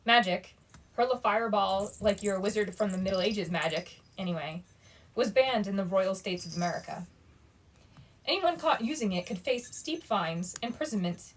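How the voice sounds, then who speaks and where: A woman reads young adult fantasy aloud in a mid-range voice. She tells it as a storyteller, giving expressive weight to the asides. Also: medium pitch, poor audio. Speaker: bookshop story reader.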